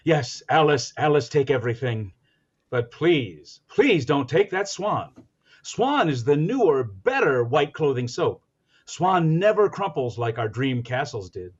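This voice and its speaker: A man's voice: on the high side, big pitch swings, measured pace, highs cut off. A man playing an eager radio announcer who hams up a society husband, with grand melodramatic diction in 1940s radio comedy style. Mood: melodramatic pleading.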